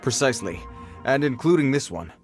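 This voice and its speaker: An earnest guard on patrol, acted by a man, with a medium-pitched, very expressive voice. He speaks formally and a bit awkwardly, like a video game side character, with music clearly playing behind him. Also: fairly quick, close to an everyday voice. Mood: stern warning. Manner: formal, hesitant, stammering when flustered. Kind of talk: animated video game voice acting.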